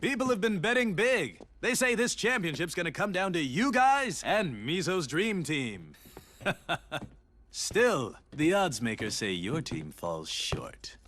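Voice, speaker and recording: A man's voice: on the high side, very animated, slow, a bit bright, a little hiss or hum, full character voice. A man voicing a slick, smug broadcast reporter in a video game, with a showy, needling delivery. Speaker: racing show reporter. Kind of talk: exaggerated video game cutscene acting.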